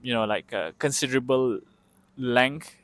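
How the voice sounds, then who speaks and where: A man from Malaysia giving slow, halting answers in a street interview, often repeating words. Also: mid-range voice, fairly bright tone, no echo. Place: outdoors on a street.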